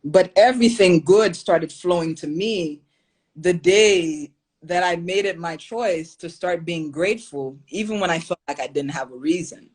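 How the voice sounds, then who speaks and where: A woman's voice: on the low side, a little slow, a little mellow, breathy edge. A young adult woman from Haiti, a podcast guest, talking in an earnest, reflective way and laughing easily. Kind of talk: casual interview talk.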